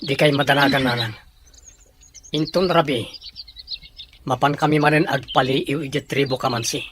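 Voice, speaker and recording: A man's voice: medium pitch, steady tone, moderate pace, close to an everyday voice. A man playing a determined, loyal young son in a drama, earnest and reassuring. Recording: clear noise with birdsong behind.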